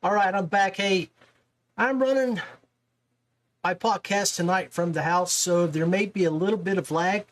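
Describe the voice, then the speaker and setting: A man's voice: high-pitched, moderate pace. An American man hosting a fishing-show style livestream in a casual, folksy, enthusiastic manner. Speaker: podcast host.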